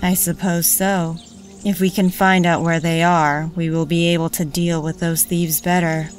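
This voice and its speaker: Actress as a practical weary thief woman, lowish voice, music behind, audio drama. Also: level tone, moderate pace, natural voice. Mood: resolute. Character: pragmatic female thief, weary and calm.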